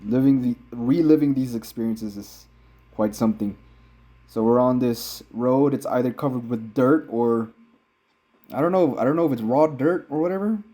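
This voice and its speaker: An American man, his accent slightly tinged Filipino, giving relaxed, rambling vlog commentary from inside a car while driving mountain roads. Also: medium pitch, normal speed. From United States. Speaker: vlogger. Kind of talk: casual driving vlog narration.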